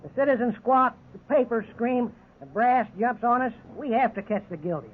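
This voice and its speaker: An actor playing a gruff, hard-nosed police officer who browbeats people in a 1950s radio drama. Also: male voice, very high-pitched, expressive, moderate pace, heavily acted. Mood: angry, defensive. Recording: tinny, noisy 1950s radio recording.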